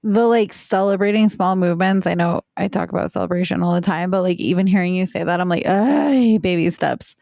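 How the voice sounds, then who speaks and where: A woman co-hosting a business leadership podcast with a pitchy voice, chatty and playful. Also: a little fast, creaky, few pauses, warm tone, no echo, studio quality. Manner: playful, chatty, laughs easily. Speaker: podcast co-host. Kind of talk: casual podcast chat.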